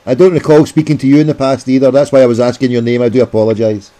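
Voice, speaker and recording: A man's voice: medium pitch, flat delivery, racing, mellow. A man with a Scottish accent making an insistent complaint as a caller on the phone. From Scotland.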